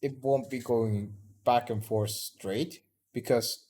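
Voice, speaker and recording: A man's voice: mid-range voice, fairly even intonation, slow pace, dark tone. Young man rambling in casual chat. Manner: rambling, frequent restarts and fillers. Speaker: podcast co-host, student.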